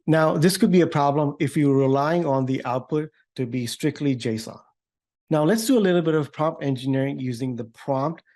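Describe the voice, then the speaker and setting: A man's voice: mid-range voice, darkish tone. Upbeat man presenting a tech demo. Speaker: developer advocate presenting a software demo. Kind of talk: tech demo walkthrough, semi-scripted.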